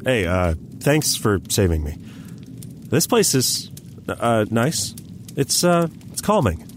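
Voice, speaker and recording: A man playing an overwhelmed, friendly rookie gamer in naturalistic audio drama dialogue, halting and stammering with uncertainty. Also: mid-range voice, sing-song, noisy background. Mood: relieved, awkward. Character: overwhelmed, hesitant male rookie gamer, confused but friendly.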